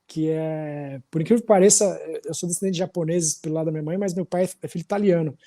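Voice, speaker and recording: A man in his forties with a Paulistano accent talks in a loose, meandering way, often tagging his sentences with little questions. His voice sits a bit high for a man but sounds warm and mellow. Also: quick pace. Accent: Paulistano Brazilian Portuguese. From São Paulo, Brazil. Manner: relaxed, rambling, with frequent tag questions. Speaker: podcast guest, musician. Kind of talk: casual storytelling chat.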